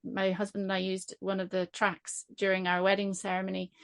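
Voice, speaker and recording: A woman's voice: medium pitch, monotone, a little fast, vocal fry, clean tone, dry recording. Woman from Wales, podcast host, encouraging questions, dry close sound. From Wales, raised in Ireland. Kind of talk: casual podcast interview.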